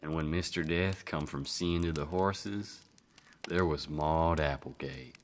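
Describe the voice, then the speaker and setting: A man's voice: rumbling low, a little slow, slight background noise. A man playing a homespun Old West storyteller with an American country accent, narrating a folktale in colloquial style for radio drama. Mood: easygoing. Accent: American Southern or Western. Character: folksy Old West yarn-spinning storyteller.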